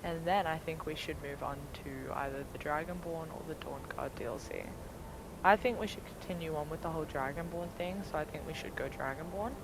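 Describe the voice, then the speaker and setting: A woman's voice: low voice, a little fast, background noise. A woman giving casual gameplay commentary, thinking aloud in loose, sometimes sweary talk. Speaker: gaming streamer.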